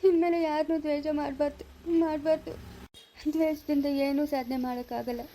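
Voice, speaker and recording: A woman's voice: sits high, steady tone, fairly quick, dark tone, slight background noise, close to an everyday voice, rough recording. A woman voicing a remorseful, tearful father in a serial drama, lamenting plaintively and repeating the words. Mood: remorseful, despairing. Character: remorseful, weeping father who pleads for forgiveness.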